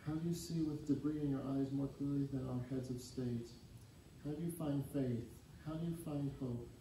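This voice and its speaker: A young adult man reading a poem aloud in a measured, solemn recitation. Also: mid-range voice, fairly even intonation, mellow. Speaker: poetry reader. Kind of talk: poetry recitation. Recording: very echoey, distant, faintly noisy recording.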